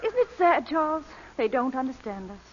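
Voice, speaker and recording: A coolly unrepentant society lady thief with a lively, swooping voice. She is genteel and teasing in old radio drama style, on a dull, lo-fi recording. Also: high voice, a little fast, slight background noise. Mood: mock-sweet. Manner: genteel, teasing, mock-innocent. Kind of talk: 1940s radio drama acting.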